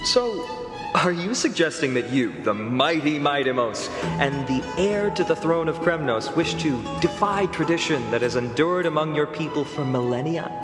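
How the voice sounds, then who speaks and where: An actor playing a playful, eloquent warrior-scholar in a video game, with theatrical, poetic phrasing and a teasing streak. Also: male voice, on the high side, animated, normal speed, close to an everyday voice. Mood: probing. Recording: echoey reverberant hall, music behind.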